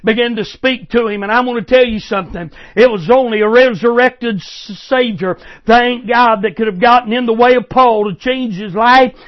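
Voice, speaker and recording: An older man preaching a fervent revival sermon, calling out to his listeners as sir and ma'am. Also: very high-pitched. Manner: impassioned, repetitive, directly addressing listeners as sir and ma'am. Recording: muffled lo-fi recording.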